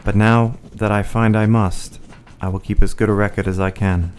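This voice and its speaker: A man in a radio play acting a devoted, sombre young Victorian husband, with a smooth voice and earnest, literary diction. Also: on the low side, level tone, moderate pace, slight background noise, close to an everyday voice. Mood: sombre, resolved.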